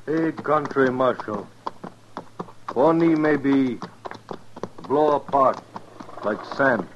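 A man's voice: medium pitch, slow, slight background noise, lightly acted. A man playing a grim tracker scout who speaks few words in clipped broken English, in a 1950s radio western. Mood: watchful. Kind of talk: 1950s radio drama acting. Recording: muffled, phone-like 1950s radio recording.

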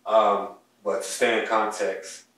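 Young guy, kinda low voice, earnest podcast talk from his living room, sounds far off the mic. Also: level tone, a little slow, a bit bright. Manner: earnest, heartfelt, speaks of his soul and ancestors. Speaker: podcast co-host. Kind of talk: casual conversational storytelling. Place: home living room, indoors. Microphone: distant mic on a stand, wide camera. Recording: echoey room audio picked up from afar.

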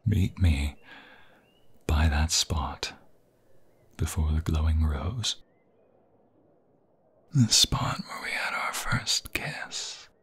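A man playing a tender young vampire fiancé in an intimate ASMR roleplay, with a breathy, husky, often whispering voice and halting, sentimental, poetic phrasing. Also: very deep voice, animated, fairly bright tone, exaggerated voice. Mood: soft, hopeful.

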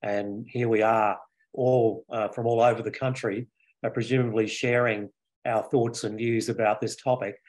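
An older man speaking on a video call from a home study, measured and halting with frequent fillers, as a panelist. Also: on the low side, flat delivery, a little mellow, rough recording. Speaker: panelist, board chair. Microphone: video call webcam.

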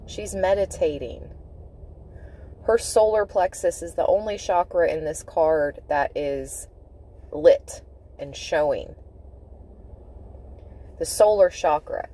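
A woman giving an intuitive tarot reading, speaking in deliberate, halting phrases with emphatic repetition. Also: low-pitched, dark tone. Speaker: tarot reader. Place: inside a parked car.

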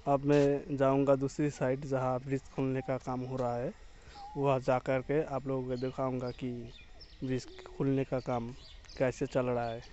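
A man's voice: medium pitch, flat delivery, normal speed, dark tone, highs cut off, slight background noise. A young man giving a plain, explanatory walking tour of a construction site outdoors, talking straight to his viewers. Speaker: construction vlogger. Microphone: phone held at arm's length.

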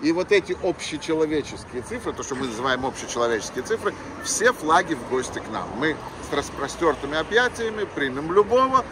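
A man in his fifties, from Russia, talking as a local tour guide in a thoughtful, casual way with frequent "uh" pauses. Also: on the high side, big pitch swings. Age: 50s. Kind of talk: casual guiding talk on a walk. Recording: street noise behind.

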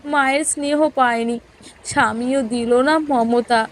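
Woman as cartoon elder wife: very high voice, meek, wronged, pleading and sorrowful. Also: steady tone, a little slow, a little hiss or hum. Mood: sorrowful, despairing. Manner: plaintive, pleading. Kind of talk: melodramatic cartoon story dialogue.

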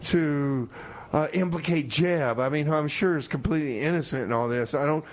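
Male actor as hapless, easygoing jail-radio DJ: slightly high voice, halting slacker delivery, muffled noisy recording. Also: lightly acted. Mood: hesitant. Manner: hesitant, rambling, slacker drawn-out talk. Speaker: radio DJ host. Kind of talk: comedy radio theater, rambling song back-announcing. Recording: dull lo-fi radio sound, noisy background.

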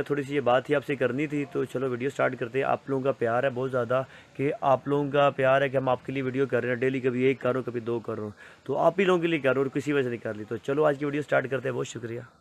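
A man's voice: fairly high pitch, a little hiss or hum, low-quality recording. A young man from Pakistan, running a casual sales vlog in a small indoor clothing shop and repeatedly urging viewers to look at the goods and call. He records himself on a phone held close. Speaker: vlogger selling used clothing bundles.

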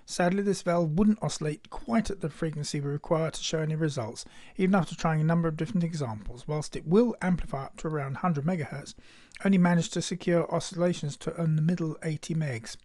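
A man's voice: on the high side, fairly quick, few pauses, warm tone. A man giving scripted narration for a hobby electronics demonstration.

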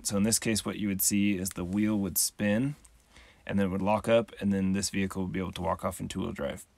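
A man, the host, giving a calm, methodical voice-over tutorial on a close mic. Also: low-pitched. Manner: calm, methodical, measured explaining. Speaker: channel host.

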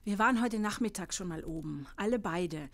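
A woman's voice: mid-range voice, expressive, a little fast. An actress playing a middle-aged, protective mother in a horror radio play, with a soothing, coaxing manner. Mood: uneasy, calming. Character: concerned, protective, mediating mother. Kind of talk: German horror audio drama acting.

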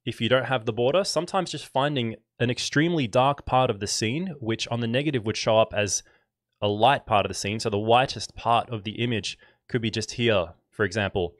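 A man's voice: medium pitch, a little fast, dry recording. A man in his thirties with a slightly nasal voice, casually explaining a demo as host of a live tutorial stream, speaking close into a dynamic microphone. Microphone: dynamic microphone on a stand.

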